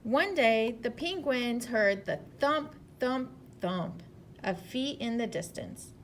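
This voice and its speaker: A woman's voice: on the high side, animated, takes it slowly, slight background noise. A woman teacher reading a storybook aloud to children and talking to them expressively.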